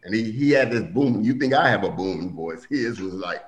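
Animated low-voiced male storyteller. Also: a little fast. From Milwaukee. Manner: animated storyteller, acts out quotes with big pitch jumps. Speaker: guest telling family stories. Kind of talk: casual storytelling conversation.

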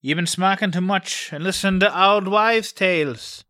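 A man's voice: high-pitched, normal speed, pushed and bright, no echo, studio quality, pitched above the performer's own voice. A man voicing a bluff, hearty, mocking Irish country doctor with an emphatic, scolding manner in a storybook reading. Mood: amused, teasing. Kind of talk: audiobook character voice.

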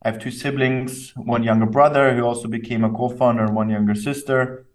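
A young man from Austria, raised speaking English and German, answers questions thoughtfully and carefully, pausing and restarting as he goes. His voice sits at an average pitch for a man. He is picked up from a little way off the mic on what sounds like a remote call. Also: fairly even intonation, distant mic. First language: German and English, bilingual. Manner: thoughtful, measured, with fillers and restarts. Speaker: company co-founder, guest. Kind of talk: casual interview answers.

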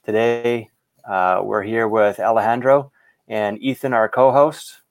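A man hosting a casual podcast, speaking in a halting, hesitant way. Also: lowish voice, fairly even intonation. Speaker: podcast host.

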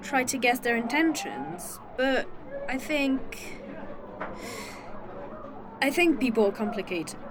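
A woman's voice: high-pitched, animated, noisy background. An actress playing a snarky, teasing woman time traveler with a fake arm in an indie audio drama. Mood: thoughtful. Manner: dry, teasing, quick-witted.

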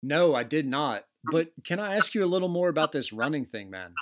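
A man hosts a podcast over a video call, easygoing and quick to curse and laugh. His voice sits a bit high for a man. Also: very animated, fairly bright tone. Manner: laid-back, swears freely, laughs often. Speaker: podcast host. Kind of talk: casual interview chat. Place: home in Delaware.

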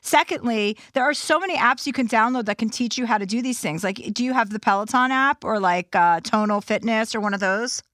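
A woman's voice: fairly high pitch, a little fast, few pauses, a bit bright, no room sound, clean studio sound. Blunt, encouraging woman giving advice. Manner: blunt, encouraging, swears freely. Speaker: advice show co-host. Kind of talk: casual advice chat.

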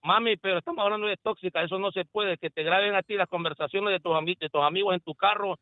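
A man's voice: sits high, quick pace, dry recording. A man calling a radio show by phone, chatting casually and insistently, often repeating himself. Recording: narrow phone line.

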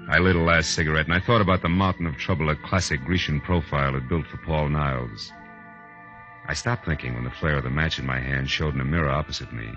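An actor playing a wry, world-weary private detective in 1940s radio noir style. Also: male voice, rumbling low, fairly even intonation, quick pace, sharp tone, faint music behind. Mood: weary, reflective. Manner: wry, familiar, hard-boiled. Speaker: lead actor and narrator. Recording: dull 1940s radio recording.

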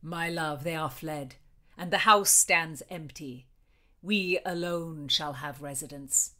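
A woman voicing an ardent, sweet-spoken Tudor gentleman in a storybook reading. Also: deep voice, slow pace, voice pushed down, exaggerated voice.

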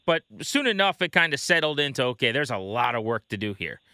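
Very bright, crisp American male host. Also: mid-range voice, very animated, brisk, dry recording, clean studio sound. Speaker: baseball show host, interviewer. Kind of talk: casual conversational interviewing.

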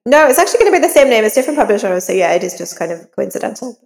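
A woman's voice: a little high, sing-song, very fast, vocal fry, darkish tone, in a large hall. A woman talking conversationally as a guest author in an interview.

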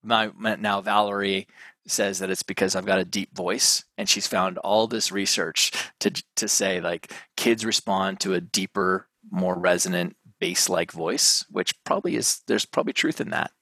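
A man's voice: sits low, normal speed, pushed and bright, no echo. A man in his thirties from Canada, a guest talking casually and thinking aloud with many restarts. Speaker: guest, mental performance coach. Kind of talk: casual interview chat.

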